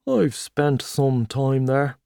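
A man voicing a boastful, hearty Irish journalist, talking loudly and expansively over drinks. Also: medium pitch, takes it slowly, vocal fry, smooth, dry recording, studio quality. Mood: boisterous. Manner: loud, expansive, back-slapping.

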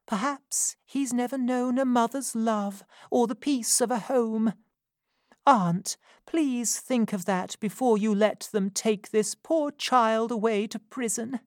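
A woman reading a storybook and voicing a kind, gentle young woman who speaks softly and pleadingly. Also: fairly high pitch, slow, no room sound, studio quality, pitched above the performer's own voice. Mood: tender.

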